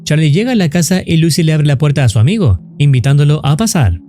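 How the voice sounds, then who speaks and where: Lively man narrating, higher-pitched voice. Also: fast talker, soft background music. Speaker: narrator of a horror explainer channel. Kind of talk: scripted narration explaining horror videos.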